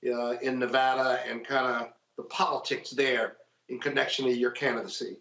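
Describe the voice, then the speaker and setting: A man's voice: mid-range voice, steady tone, moderate pace, bright, forceful tone. A man questioning a guest as a panelist in a friendly political interview, on an echoey, dull recording. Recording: echoey room, dull lo-fi sound.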